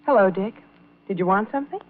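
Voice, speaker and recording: A woman's voice: medium pitch, animated, fast talker, natural voice. A woman acting an unhappy, pleading wife with a melodramatic manner in an old-time radio drama. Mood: coy. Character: unhappy, pleading young wife confiding in a man. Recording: muffled old radio recording.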